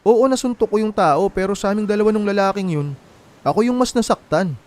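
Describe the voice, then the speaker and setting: An actor playing a heartbroken, wronged young boyfriend in a Filipino radio drama, with a plaintive, confiding manner and a Filipino accent. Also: male voice, sits high, a little fast, darkish tone, close to an everyday voice. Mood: hurt, indignant. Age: young adult. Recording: music playing behind the voice.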